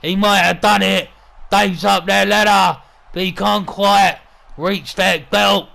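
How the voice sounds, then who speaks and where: A gruff, crude wrestling boss, played by a man, calls the action in a heavy gravelly growl. The voice sits a little high and bright, with a forceful edge. He talks bluntly, swears freely and sounds like a threat. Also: fairly even intonation, a little slow, heavily acted. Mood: excited. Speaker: wrestling commentator. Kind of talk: wrestling commentary with in-character banter.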